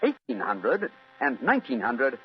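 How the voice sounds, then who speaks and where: An actor playing a composed gentleman detective in an old-time radio drama, speaking with precise, courteous, analytical phrasing. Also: medium pitch, wildly swooping pitch, a little slow, slightly rough, faint music behind, heavily acted. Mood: regretful, precise. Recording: dull vintage radio recording.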